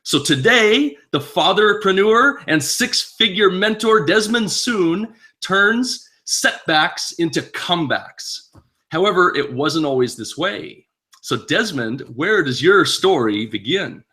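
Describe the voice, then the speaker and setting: Host guy from the seattle area, bright slightly high voice, polite, reads out a formal intro. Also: expressive, slow pace. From Seattle area, US West Coast. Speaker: interview host. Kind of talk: interview hosting.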